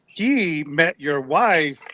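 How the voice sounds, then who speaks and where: Male podcast host, bright voice, slightly high, chatty and casual. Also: animated, drawn-out words, dull audio, a little hiss or hum. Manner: easygoing, chatty, slangy.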